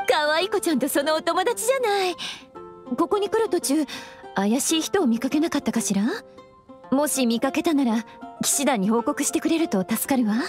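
A woman's voice: high-pitched, lively intonation, moderate pace, faint music behind. A woman voicing a teasing, sultry witch librarian in an anime game, coy and languid in manner. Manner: coy, feminine, playful.

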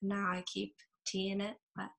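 A woman in her twenties from Buffalo introducing herself on a video call, with a halting delivery. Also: mid-range voice, completely flat delivery, creaky, fairly bright tone. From Buffalo, New York. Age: 20s. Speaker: participant. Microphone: laptop webcam on video call.

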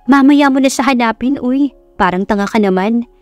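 A woman's voice: on the high side, normal speed, faint music behind, natural voice. A woman with a Filipino accent voicing a blunt, teasing young best friend in an audiobook, slangy and exasperated.

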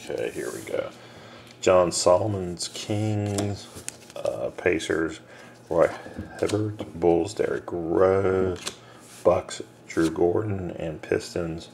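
A man breaking a box of trading cards on camera, reading out names and teams in a low mumble, casual and unhurried. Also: creaky, a little mellow. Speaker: card breaker. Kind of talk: casual card-break commentary. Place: small room indoors. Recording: noisy room with card shuffling.

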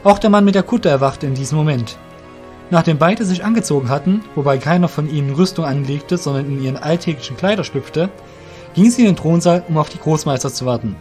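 A man's voice: a little high, fast, darkish tone, soft background music. A man reading scripted story narration for an audio drama.

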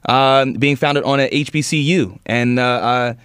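A man's voice: mid-range voice, unhurried, fairly bright tone, clear, resonant tone, no room sound, studio quality. A man moderating a panel discussion, hesitant, stumbling through his questions. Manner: hesitant, many fillers and restarts.

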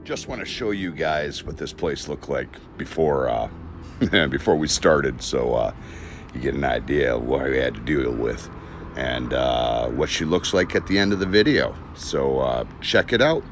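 A man's voice: extremely low pitch, animated, a little slow, soft background music. A man filming a casual how-to vlog in a large indoor workspace, talking folksy and enthusiastic with lots of fillers. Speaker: haunted attraction builder.

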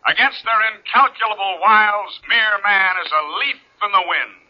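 A breezy, wisecracking American private detective in a 1940s radio drama. His delivery is lively and deadpan at once, teasing and flippant. The recording is old and muffled. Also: sits high, moderate pace. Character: wry, breezy hard-boiled private detective, adult man.

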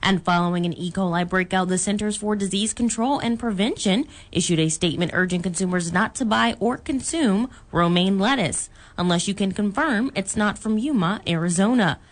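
A woman's voice: mid-range voice, moderate pace, few pauses. An American woman working as a radio news anchor, reading scripted bulletins.

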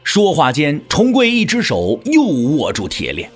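A man's voice: on the high side, unhurried, breathy edge, faint music behind. A man narrating a martial-arts story in a theatrical, scripted storytelling style. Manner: theatrical, expressive.

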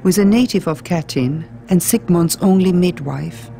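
A woman's voice: lowish voice, moderate pace, vocal fry, warm tone. A woman reading an audiobook as an earnest twenty-eight-year-old island woman writing an intimate letter. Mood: reflective, fond.

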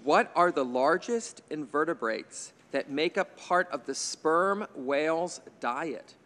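A man's voice: fairly high pitch, animated, takes it slowly, a bit bright, long reverb tail. A man in his fifties moderating a quiz bowl from a studio desk mic, reading questions with chatty, jokey asides. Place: indoor TV studio stage. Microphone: desk microphone on a stand.

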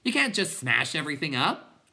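A narrator voicing an earnest, exasperated young boy in a storybook, shouting insistently with indignant pleading. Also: mid-range voice, sing-song, normal speed, voice pushed up.

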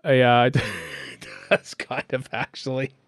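A man's voice: mid-range voice, flat delivery, measured pace, clean tone, no echo, clean studio sound. A man with a Canadian accent co-hosting a podcast in casual, chatty commentary. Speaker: podcast co-host. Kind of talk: casual fan commentary chat.

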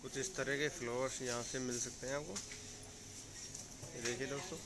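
A man's voice: mid-range voice, steady tone, a little fast. A young man from India giving a chatty guided vlog tour, riding through streets and walking through a mall while talking into a phone camera. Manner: chatty guide, repeatedly addressing friends. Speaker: vlogger. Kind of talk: casual travel vlog narration. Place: outdoors on a motorbike, then inside a busy mall. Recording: loud road and crowd noise.

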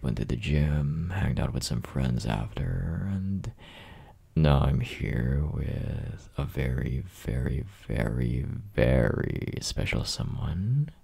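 A man acting as a young, clingy boyfriend in an ASMR roleplay, with an extremely low, gravelly voice. He talks slowly and softly, dorky and teasing, doting on his girlfriend in a dark, mellow tone. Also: heavily acted. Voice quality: raspy, gravelly. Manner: soft, breathy, teasing, slow and drawn out. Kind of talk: ASMR roleplay, intimate one-sided conversation.

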